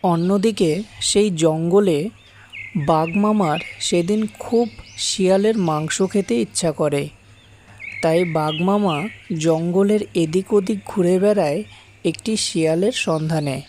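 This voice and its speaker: A woman's voice: fairly low pitch, takes it slowly, darkish tone, slight background noise. A woman narrating a scripted children's fable as the storyteller.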